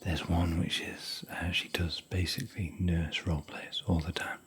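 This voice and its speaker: A man whispering softly in a rambling, chatty, gentle way while hosting an ASMR hotel room tour. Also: sits low, flat delivery, moderate pace, slightly rough. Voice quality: soft whisper.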